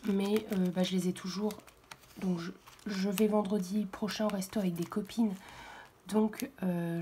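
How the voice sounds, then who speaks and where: Hoarse woman vlogger, hushed and halting, dark mellow tone, faint hiss behind. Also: on the low side. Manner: soft, half-whispered, hesitant with many fillers. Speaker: budget vlogger. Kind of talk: casual budget walkthrough. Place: at home, early morning.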